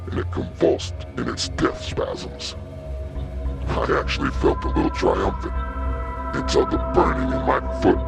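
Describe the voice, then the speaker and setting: A man narrating a first-person horror story in a theatrical, suspenseful manner, with a gravelly voice. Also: a bass voice. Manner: theatrical, suspenseful, with acted exclamations and gasps.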